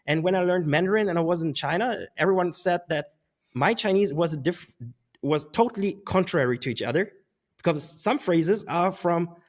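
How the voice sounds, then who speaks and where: Guy in his 30s, talks kinda high, rambles and laughs a lot. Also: a little fast. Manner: rambling, restarts, laughs often. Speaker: guest. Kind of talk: casual interview conversation.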